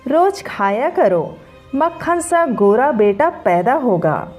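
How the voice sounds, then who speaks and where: A woman's voice: high-pitched, big pitch swings, takes it slowly, darkish tone, soft background music, heavily acted. A woman voicing a devout, doting middle-aged mother-in-law in an earnest, homely, coaxing way for a story.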